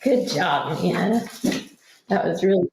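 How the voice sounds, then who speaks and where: A woman speaking casually and playfully in a gentle, encouraging way as she improvises a story. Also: fairly low pitch, animated, slow, roomy sound, background noise. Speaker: guest, mother of young author.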